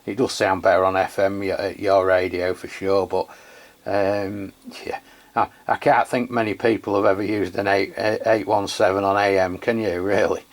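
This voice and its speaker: A man's voice: low voice, little pitch movement, normal speed. A man with an English accent chatting casually as a radio reviewer, in a hesitant, rambling, matey way. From England. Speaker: radio review channel host. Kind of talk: casual radio chat and product testing.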